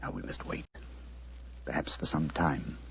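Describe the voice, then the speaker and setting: An actor playing a commanding, razor-sharp detective with a British accent and precise theatrical diction, in a 1940s radio drama. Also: male voice, rumbling low, animated, unhurried, gravelly, full character voice. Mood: hushed, tense. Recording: dull, noisy 1940s radio recording.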